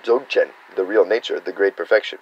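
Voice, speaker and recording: American guy rambling through a spiritual teaching, low voice, dull dry lo-fi sound. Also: fairly quick, a little mellow. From United States. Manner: earnest, meandering, acts out quoted reactions. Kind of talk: unscripted spiritual teaching monologue.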